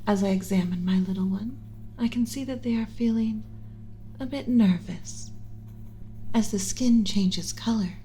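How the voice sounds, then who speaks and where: A woman voicing a gentle, motherly alien scientist in an ASMR roleplay, speaking in a soothing, measured, instructional, often whispery way. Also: mid-range voice, slight background noise, lightly acted, rough recording. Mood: gentle, instructive. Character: gentle motherly alien scientist teaching a class about pet human.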